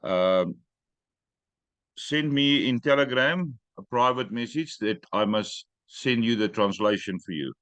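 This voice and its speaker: A man hosting an online training call, giving brisk instructions with joking asides. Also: medium pitch, a bit bright, dry recording.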